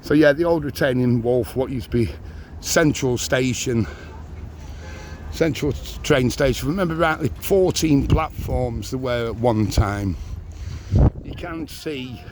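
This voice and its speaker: An older man, chatting casually while walking a town tour, his voice a little squeaky and strained. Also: unhurried. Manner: chatty, rambling, self-mocking. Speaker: walking vlogger. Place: outdoors on busy town streets. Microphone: handheld camera on a gimbal. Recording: outdoor street noise.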